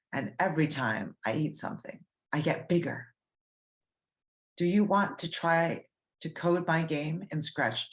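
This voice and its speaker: A woman reading the part of a friendly storybook snake who explains things simply to children. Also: low-pitched. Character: friendly cartoon snake, former video game star. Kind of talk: children's read-aloud storybook.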